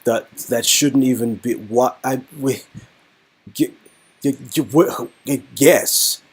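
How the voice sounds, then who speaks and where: A man's voice: mid-range voice, slow, breathy edge. A man playfully reading and playing a story game, casual and a little hesitant, often repeating himself. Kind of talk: casual playthrough with narration read aloud.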